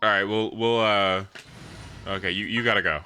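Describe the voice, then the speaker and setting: A man giving casual, excitable gaming livestream commentary, exclaiming and swearing freely as he plays. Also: lowish voice, normal speed, a little hiss or hum. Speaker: live streamer playing a video game.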